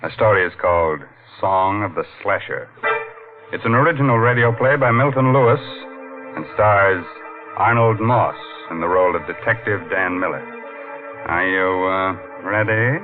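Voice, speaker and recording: An actor playing a ghoulish, mocking horror-show host in a theatrical, tongue-in-cheek 1940s radio style. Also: male voice, low-pitched, normal speed, close to an everyday voice. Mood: dryly mocking. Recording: muffled vintage radio, loud music behind.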